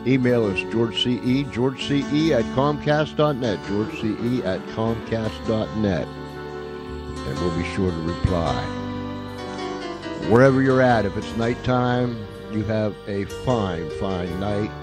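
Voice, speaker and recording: Folksy low-voiced man hosting radio over music. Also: slow. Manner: folksy, repeats phrases for emphasis. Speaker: radio show host. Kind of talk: casual radio sign-off to listeners. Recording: music under the voice.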